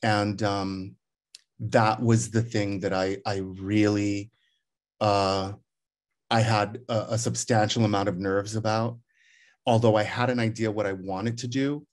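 A man's voice: on the low side, level tone, measured pace. A middle-aged man, a theater director, talking at home over a video call in a reflective, rambling way with many restarts. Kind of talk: casual video call conversation. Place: living room at home.

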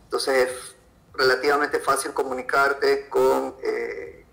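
A man's voice: mid-range voice, flat delivery, takes it slowly, gravelly, fairly bright tone. A man with an Ecuadorian accent, answering conversationally as an interview guest. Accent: Ecuadorian Spanish. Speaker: podcast guest, freelance photographer.

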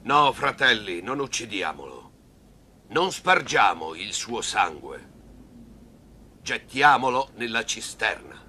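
A man voicing a firm, sober adult brother in an animated film dub. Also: fairly low pitch, slow, slight background noise, exaggerated voice. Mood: firm, grave.

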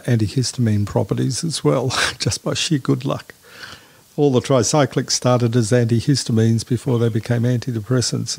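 A man's voice: medium pitch, gravelly, a little mellow, husky. A man hosting a medical panel discussion, asking reflective, leading questions. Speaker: host. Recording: replay of a Clubhouse audio room.

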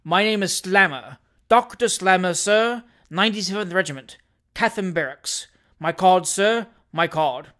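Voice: mid-range voice, lively intonation, unhurried, fairly bright tone. A narrator voicing a furious, pompous little army doctor in a storybook, with gasping, clipped and indignant outbursts. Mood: indignant, menacing.

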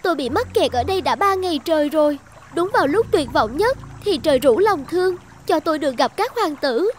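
A woman's voice: sits high, lively intonation, brisk, slight background noise. A woman voicing a small, plaintive, polite talking fish in a fairy-tale cartoon. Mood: desperate, pleading. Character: pleading, grateful little talking fish in trouble.

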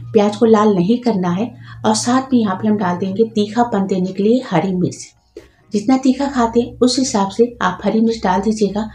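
A woman's voice: mid-range voice, mellow, roomy sound. A woman host giving patient, step-by-step instructions in a cooking tutorial voice-over, adding practical tips as she goes.

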